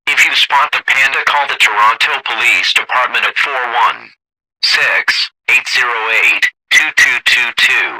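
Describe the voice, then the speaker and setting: Male alert announcer, lowish and very sharp voice with some rasp, scripted and impersonal broadcast delivery. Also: a little slow, no room sound, low-quality recording. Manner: formal, impersonal.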